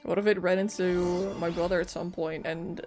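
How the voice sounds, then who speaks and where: A woman's voice: fairly low pitch, little pitch movement, moderate pace, darkish tone. A woman streamer giving casual, chatty commentary while playing a game. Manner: chatty, reactive. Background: faint game music.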